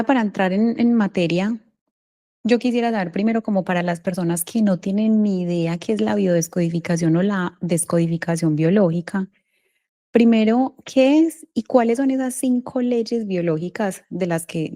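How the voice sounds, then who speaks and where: This is a Colombian woman interviewing a guest on a podcast. Her voice is mellow and relaxed, sitting at an ordinary pitch for a woman. She talks informally and effusively, as in a friendly conversation.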